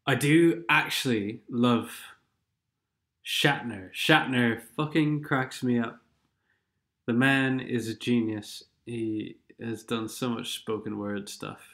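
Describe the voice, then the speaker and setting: A man's voice: mid-range voice, takes it slowly, fairly bright tone. A man with a stuffed-up nose from a cold, giving sardonic, rambling commentary over game replays. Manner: sardonic, rambling, sings snatches of songs. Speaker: gaming commentator.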